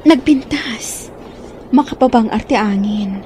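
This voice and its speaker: A woman acting a gentle young woman in love, speaking softly and sweetly. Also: a little high, slow. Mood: tender. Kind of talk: radio drama acting. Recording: background music under the voice.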